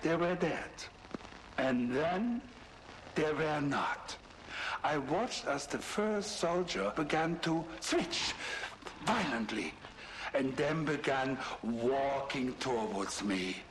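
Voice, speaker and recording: Video game villain: sadistic German mad scientist, middle-aged, obsessed. Deliberate pace, widely swinging pitch. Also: male voice, animated, fairly bright tone, slight background noise, rough recording. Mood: grave, awed. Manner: theatrical, grandiose, menacing, deliberate. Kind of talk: dramatic monologue in a video game cutscene.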